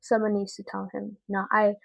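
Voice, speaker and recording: A woman giving a casual, chatty video review in an expressive, slangy way with emphatic repetition. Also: medium pitch, moderate pace, creaky, no echo.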